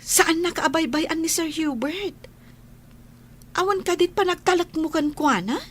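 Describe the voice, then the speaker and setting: A woman's voice: very high-pitched, a little slow, fairly bright tone. An actress playing a cheerful, teasing young woman in a radio drama, playful and encouraging to her friend.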